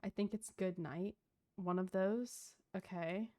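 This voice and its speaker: A woman's voice: mid-range voice, steady tone, takes it slowly, warm tone. Gushing, informal woman game streamer. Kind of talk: casual live stream commentary.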